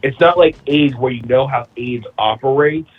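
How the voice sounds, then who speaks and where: Husky, blunt man in his thirties. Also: mid-range voice, moderate pace, highs cut off, faint music behind. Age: 30s. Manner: emphatic, blunt, repeats himself while building a point. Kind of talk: casual chat.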